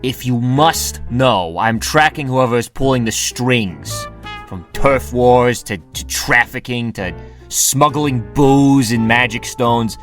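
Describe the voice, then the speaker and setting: An actor playing an irritable, jumpy lone-wolf detective in a 1920s radio drama, curt and prone to stammering. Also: male voice, mid-range voice, slow, fairly bright tone, faint music behind. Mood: brooding.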